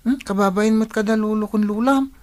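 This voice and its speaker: A woman's voice: mid-range voice, brisk, darkish tone, natural voice. A woman playing a hungry, complaining school-age child in an Ilocano comedy radio drama. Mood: sulky.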